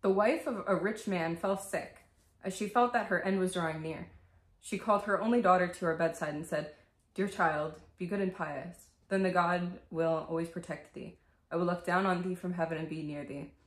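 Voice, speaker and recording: A young woman with a fairly low voice speaks as a video host in a bedroom. She is candid and informal, moving between casual chat and reading aloud. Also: normal speed, reverberant. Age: 20s. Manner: candid, swears freely, breaks off into asides. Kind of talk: casual vlog talk and storybook reading. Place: small bedroom, indoors.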